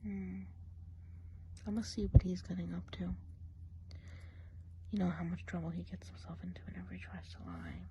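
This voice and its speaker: A woman's voice: fairly low pitch, sing-song, normal speed, long pauses, a little hiss or hum. A woman playing a gossipy whispering woodland witch. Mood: gentle. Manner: soft, half-whispered, chatty and gossipy. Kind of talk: ASMR role play.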